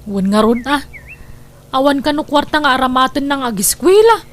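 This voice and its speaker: A struggling Filipino wife and mother in a radio drama, her voice very high. She is exasperated and resentful, complaining about the hardship at home. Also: lively intonation, normal speed. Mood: resigned, bitter. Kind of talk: Ilocano radio drama acting.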